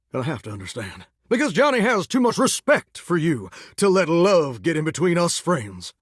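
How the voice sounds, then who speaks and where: An actor playing a cocky, folksy singing faucet in a video game, styled as a 1950s heartthrob with a hammy, drawling American Southern voice. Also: male voice, on the high side, fairly bright tone, no room sound, clean studio sound. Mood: smooth, folksy. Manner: hammy, drawling, old-fashioned rock-and-roll showman. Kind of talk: theatrical game voice acting.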